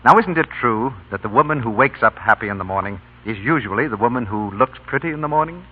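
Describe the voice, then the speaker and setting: A man's voice: medium pitch, lively intonation, quick pace, husky. A man announcing in a chatty, scripted vintage radio commercial style. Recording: narrow, tinny old radio broadcast.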